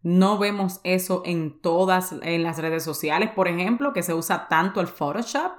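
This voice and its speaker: Candid Dominican woman, low voice. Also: moderate pace, roomy sound. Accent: Dominican Spanish. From Dominican Republic. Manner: candid, confessional, mixes English words into Spanish. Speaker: podcast host. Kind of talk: personal podcast monologue.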